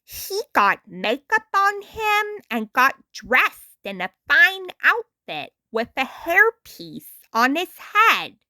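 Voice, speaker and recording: A woman reads a story aloud at a very slow, deliberate pace. Her voice is bright and swings widely in pitch with dramatic storybook phrasing. The recording is dry and close. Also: wildly swooping pitch, no echo. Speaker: storytime narrator. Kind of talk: expressive scripted storybook narration.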